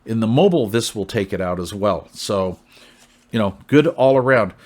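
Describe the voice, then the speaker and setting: A man's voice: on the low side, lively intonation, moderate pace, darkish tone. An American man hosting a casual product review in a folksy, long-winded, conversational way, often checking in with the listener. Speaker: CB radio reviewer, channel host.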